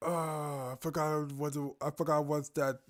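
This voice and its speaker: A man giving casual, unscripted reaction commentary as host, halting and repeating himself as he goes. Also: on the high side, level tone, a little hiss or hum.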